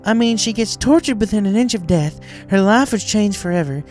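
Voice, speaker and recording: A woman voicing a blunt, protective female farm pony with a folksy, forceful, exasperated manner in a story reading. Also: medium pitch, expressive, brisk, faint music behind, pitched above the performer's own voice, lightly acted. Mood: angry, accusing. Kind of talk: dramatized fan fiction reading.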